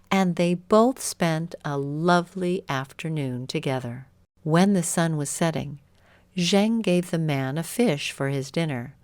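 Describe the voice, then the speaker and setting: A woman's voice: sits low, dry recording. A woman reading a scripted bedtime story as narrator.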